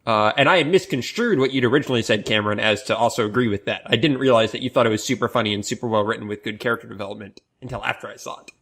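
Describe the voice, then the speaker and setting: A man's voice: mid-range voice, rapid-fire, few pauses, a bit bright. A man co-hosting a podcast, talking through his opinions in a casual group discussion. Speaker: podcast co-host.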